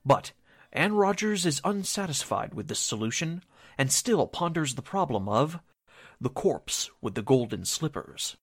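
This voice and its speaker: A male announcer delivers scripted old-time radio narration. His voice is a little husky, and his pitch moves widely with measured drama. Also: sing-song.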